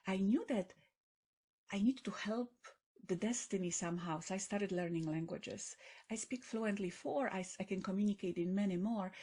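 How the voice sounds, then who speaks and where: Husky Polish-accented woman, enthusiastic. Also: medium pitch. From Poland. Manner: enthusiastic, expressive storytelling. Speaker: guest, coach and author. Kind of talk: podcast interview.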